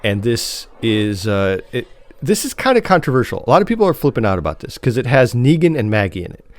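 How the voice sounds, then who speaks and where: A man with an American accent talks as a podcast co-host in an easy, informal chat. His pitch is average for a man, and he tends to hesitate and restart his thoughts as he goes. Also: very animated, a little fast. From Chicago, United States. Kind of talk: casual chat about TV news.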